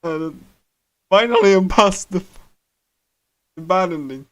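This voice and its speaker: A man with a slightly high voice gives casual live commentary while playing a visual novel. He rambles, restarts and repeats phrases as he reacts. Soft guitar music from the game plays behind him. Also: expressive, measured pace.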